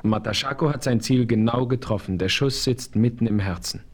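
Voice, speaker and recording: An actor playing a calm, commanding chief who talks about himself in the third person in a radio play. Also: male voice, medium pitch, normal speed, slight background noise, natural voice. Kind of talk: 1970s radio play acting.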